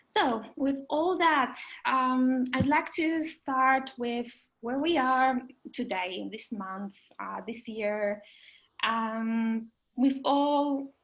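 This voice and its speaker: A woman's voice: sits high, very slow, a bit bright, poor audio. A woman hosting an online panel over a video call, speaking hesitantly with many fillers. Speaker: meetup host and moderator.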